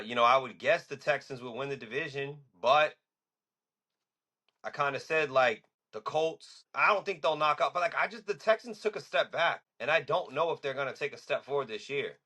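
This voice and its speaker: Bright-voiced man in loose sports talk. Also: mid-range voice, moderate pace. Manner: loose, filler-heavy, emphatic slang. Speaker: podcast co-host.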